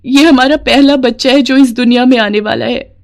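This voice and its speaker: A woman voicing a gentle, hard-working wife in a moral story, earnest and plaintive. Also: high-pitched, fairly even intonation, moderate pace, creaky, pitched above the performer's own voice. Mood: hurt, pleading. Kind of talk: dramatized Hindi moral story.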